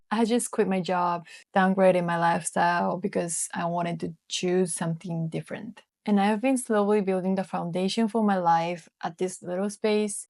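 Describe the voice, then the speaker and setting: A woman in her twenties from Japan, speaking English with a Japanese accent in a calm, reflective voice-over for her vlog. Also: fairly low pitch, dry recording. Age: 20s. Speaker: vlogger. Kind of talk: scripted voice-over narration.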